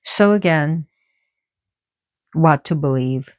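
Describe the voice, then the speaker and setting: A woman giving a casual spiritual talk about myth and oracle cards, halting often and restarting as she searches for words. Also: sits low, darkish tone, dry recording. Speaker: oracle card reader.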